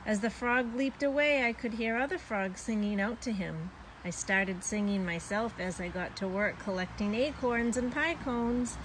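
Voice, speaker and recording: A woman's voice: fairly high pitch, moderate pace, few pauses, a little hiss or hum, rough recording. A woman reading a children's story aloud expressively, drawing out words for young listeners.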